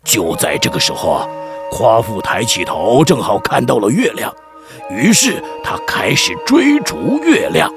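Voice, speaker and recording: A man's voice: medium pitch, huge pitch swings, measured pace, over music. A man voicing a theatrical middle-aged village chief, a long-winded, avuncular storyteller in a children's radio drama. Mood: dramatic narrating. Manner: theatrical, mock-solemn, avuncular.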